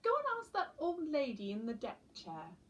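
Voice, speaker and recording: A mother barn owl from a storybook voiced by a woman reader. She is caring and gently firm, coaxing in a motherly way. Her voice is fairly high with lively swings in pitch. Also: moderate pace, far from the mic, slight background noise.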